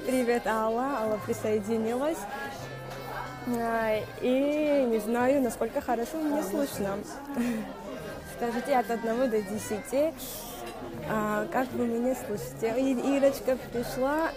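Young woman coach, halting accented Russian. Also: on the high side, a crowd in the background. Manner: halting, with many fillers and restarts, greeting viewers by name; speaks Russian as a second language with a noticeable accent. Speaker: inner strength coach hosting a live stream. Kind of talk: live-stream talk to viewers. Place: small room indoors. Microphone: wired earphone mic. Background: music playing.

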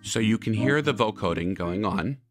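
A man narrating a software tutorial, explaining step by step like an instructor. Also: on the low side, expressive, moderate pace, over loud music.

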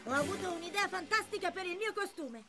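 A woman's voice: extremely high pitch, expressive, a little fast. A woman voicing a cheeky, boastful young boy in an Italian children's cartoon, eager and self-important. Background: loud music.

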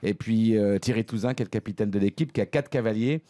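Middle-aged male sports commentator, low mellow voice, halting phrasing, close dry studio sound. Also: dry recording. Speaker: equestrian commentator. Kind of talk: animated studio recap of sporting events. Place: radio studio. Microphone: studio microphone on a desk arm.

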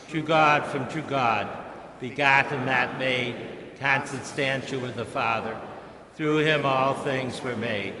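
A man leading a congregation in a slow, measured liturgical recitation inside a church. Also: medium pitch. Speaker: priest leading the creed. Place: church sanctuary. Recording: echoey church with long reverb. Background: congregation reciting along.

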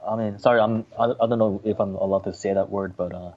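A man's voice: lowish voice, steady tone, fast. A Korean-born man, a native Korean speaker, speaking English as a guest, hesitant and polite. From South Korea. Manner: hesitant, polite, lots of fillers. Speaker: baseball writer, guest. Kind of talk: casual interview chat. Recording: dull lo-fi remote line.

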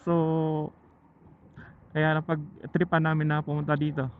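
A man with a Filipino accent chatting casually and haltingly to viewers while riding a motorcycle, speaking through a helmet intercom microphone. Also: a little high, completely flat delivery, clear, resonant tone. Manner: chatty, halting, thinking aloud. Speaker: motorcycle vlogger. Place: outdoors riding a motorcycle on mountain roads. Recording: muffled phone-like helmet intercom, loud vehicle noise.

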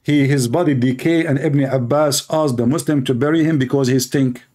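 A man's voice: medium pitch, steady tone, moderate pace, a little mellow. Mocking man with an Arabic accent. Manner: mocking, combative, repeats himself. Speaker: debate host. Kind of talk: heated online debate.

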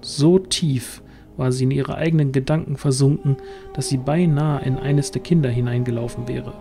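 A man's voice: mid-range voice, steady tone, moderate pace, creaky. A man reading scripted narration as the storyteller of a fantasy audio drama. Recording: music underneath.

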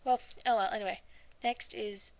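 A woman's voice: medium pitch, steady tone, normal speed, vocal fry, a little mellow. A young woman casually introducing her amateur audition, chatty, hesitant and self-deprecating.

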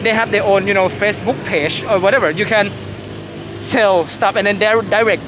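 A young man with a Thai accent and halting English, explaining things to tourists as a guide. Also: sits high, steady tone, music in the background. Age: young adult. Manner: halting, non-fluent English with repeated words. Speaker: tour guide. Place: outdoors by city canal and riverside. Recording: loud outdoor traffic and city noise.

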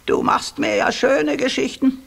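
A bossy raven from a children's radio play. The voice is high and extremely creaky, rasping through every scolding lecture with a husky edge. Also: a little slow, fairly bright tone, full character voice. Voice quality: croaky, rasping. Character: scolding, bossy talking raven, the witch's companion. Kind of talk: German children's audio play acting.

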